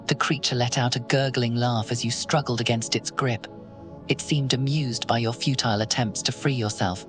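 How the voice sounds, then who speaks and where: A narrator telling a horror story in second person, slow and ominous. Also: medium pitch, fairly even intonation, soft background music.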